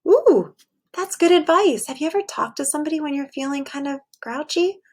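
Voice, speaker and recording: Female primary teacher, slightly high voice, encouraging, casual story-time talk aimed at little kids. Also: animated, brisk. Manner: encouraging, asks the listeners questions. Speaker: teacher reading aloud to pupils. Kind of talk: casual read-aloud and chat addressed to young children.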